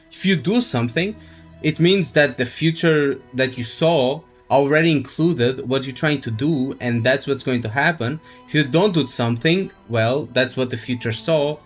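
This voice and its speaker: A man doing casual, musing live commentary as he streams a game, rambling and swearing casually. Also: mid-range voice.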